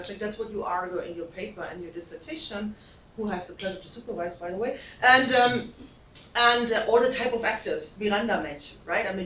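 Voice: medium pitch, a little hiss or hum. Brief: academic panel discussant in an echoey hall, dull, distant sound. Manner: thinking aloud, fillers and self-corrections.

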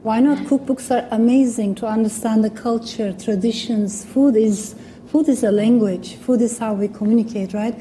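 Mellow-voiced woman with a Turkish accent. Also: fairly high pitch, normal speed, a little hiss or hum, poor audio. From Turkey. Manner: thoughtful, earnest, with small restarts. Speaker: guest speaker. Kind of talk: reflective public talk.

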